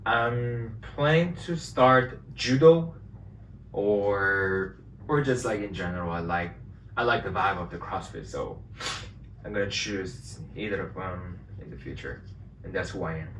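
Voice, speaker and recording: A man from Korea, speaking English as an in a relaxed, hesitant way and searching for words as a vlog co-host. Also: on the low side, unhurried. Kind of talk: casual chat. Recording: echoey room, distant mic, faint hiss.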